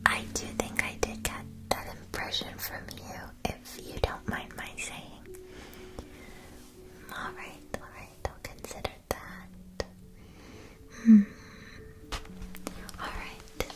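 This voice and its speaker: A woman whispering an ASMR roleplay as a polite, attentive witch-school shopkeeper, explaining in measured, deliberate phrases. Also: fairly high pitch, monotone. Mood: polite, considering.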